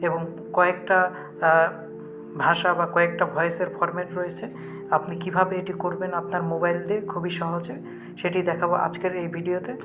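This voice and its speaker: Fast, even-toned Bangladeshi man presenting a tutorial. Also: high voice. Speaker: tech tutorial host. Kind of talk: step-by-step app tutorial narration. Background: background music.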